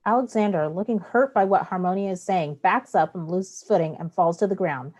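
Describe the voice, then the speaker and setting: A woman with a fairly low voice narrates a play. She reads out stage directions as scripted narration. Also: fairly quick, a little mellow.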